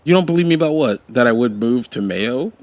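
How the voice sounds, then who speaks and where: A man's voice: mid-range voice, lively intonation, fairly quick, no echo. A man in his twenties from Maryland who hosts a livestream, rambling and thinking aloud in a loose, casual way. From Maryland, USA. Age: 20s. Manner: rambling, thinks aloud, repeats himself while doing setup. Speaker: streamer host.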